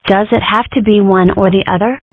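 A woman acts as a mischievous little fox girl from a cartoon comic dub. She is playful and matter-of-fact at a middle pitch, in a dry, narrow phone-like recording. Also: steady tone, a little fast, no echo, natural voice. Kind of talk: scripted comic dub dialogue. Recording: muffled phone-like lo-fi audio.

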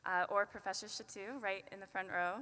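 A young woman in her twenties giving a commencement speech at a podium, earnest and measured with light self-deprecating asides. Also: mid-range voice, fairly even intonation, background noise. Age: 20s. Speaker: student commencement speaker. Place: large arena at a podium. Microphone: podium microphone. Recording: long arena reverb.

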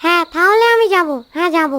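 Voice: very high voice, fast talker, pushed and bright. A performer voicing a greedy, stubborn little ghost child in a cartoon, always demanding. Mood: eager.

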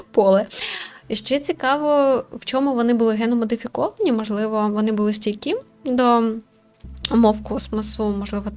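A woman hosting a game video with casual, off-the-cuff commentary, musing aloud and asking questions as she goes. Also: on the high side. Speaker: gaming video host and commentator.

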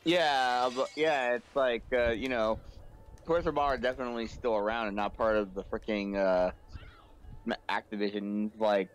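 A man's voice: mid-range voice, steady tone, normal speed, smooth. A man in his late twenties rambles cheerfully on a voice call. He laughs a lot and hops from one side topic to the next, his voice sitting in a middle register. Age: 20s. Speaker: co-commentator. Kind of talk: casual chat on a voice call.

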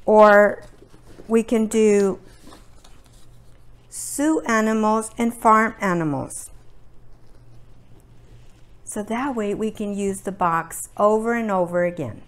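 Slow-paced woman demonstrating learning activities. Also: medium pitch, clean tone. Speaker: early childhood educator presenting activities. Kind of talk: demonstration talk to parents. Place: indoors at a table by a brick fireplace.

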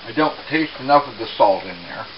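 A man presenting a casual home cooking demonstration in an unhurried, explanatory way, recorded in a kitchen. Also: mid-range voice, animated. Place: small home kitchen, indoors. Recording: dull, hissy home camera audio.

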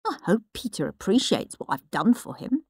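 A woman plays a mischievous schoolboy in a children's storybook. He sounds smug and self-satisfied, with a lively rise and fall in pitch. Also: normal speed, husky, no echo, clean studio sound. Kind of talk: children's audiobook character voice.

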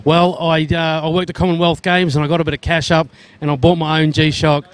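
A friendly Australian man in his thirties, chatting with exhibitors and calling them mate. His voice sits a bit high, held on a handheld mic in an expo hall with faint room noise. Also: little pitch movement, a little fast. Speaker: interviewer. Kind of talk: casual trade show interview. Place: indoor expo hall, busy.

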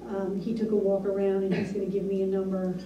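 A woman reporting to a town board in a measured, businesslike way with frequent ums, recorded in a small municipal meeting room by a distant camera mic. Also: medium pitch, flat delivery, echoey room, muffled recording, a little hiss or hum. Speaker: town administrator. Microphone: far room camera.

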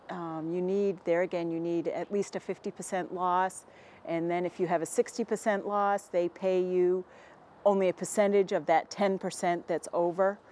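An American woman in her forties, a dairy farmer answering interview questions in a plainspoken, halting and measured way. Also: fairly low pitch, a little mellow, a little hiss or hum. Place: inside a barn with hay bales. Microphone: lapel mic.

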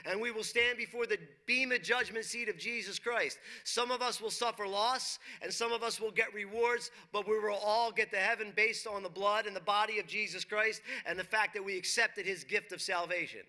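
A man's voice: high-pitched, steady tone, moderate pace, hardly any pauses, bright, forceful tone. A man in his forties preaching a sermon on a church stage, emphatic, reading scripture aloud and asking the congregation questions. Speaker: pastor. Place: church stage, dark brick wall behind.

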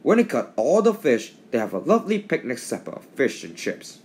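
Man reading aloud, lively pitch swings. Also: a little fast. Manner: stumbles and repeats words while reading. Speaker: storybook reader. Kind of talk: read-aloud children's story.

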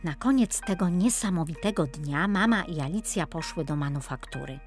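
A woman reading a scripted children's story in an expressive, playful storytelling manner. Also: lowish voice, normal speed, faint music behind. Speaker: storyteller narrator.

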